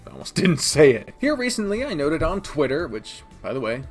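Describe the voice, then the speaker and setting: A man's voice: on the high side, very animated, fairly quick, slightly rough, soft background music. A man giving casual, opinionated countdown commentary in a sardonic, sweary way, often interrupting himself like a reviewer talking to his audience. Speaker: video reviewer and podcast host.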